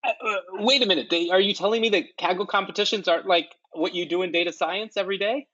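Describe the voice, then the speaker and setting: A man hosts a technical webinar with a husky, slightly raised voice and an easy, joking manner. The sound is dry and a bit lo-fi, like a video call with no room echo. He introduces his guest and handles the housekeeping. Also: high-pitched, fast talker, pushed and bright. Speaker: webinar host, data scientist. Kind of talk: webinar hosting.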